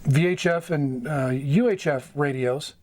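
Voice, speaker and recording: A middle-aged American man giving an informal instructional talk, going item by item in short, halting phrases. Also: on the high side, a little mellow. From United States. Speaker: amateur radio mentor, video host. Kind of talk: informal instructional explanation at a whiteboard. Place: small wood-panelled room indoors.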